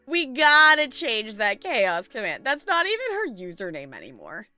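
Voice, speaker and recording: A woman's voice: fairly high pitch, huge pitch swings, normal speed, pushed and bright, faint music behind. A woman hosting a livestream, chatting casually with viewers and thinking aloud in a rambling way. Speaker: livestream host. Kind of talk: casual chat with live stream viewers.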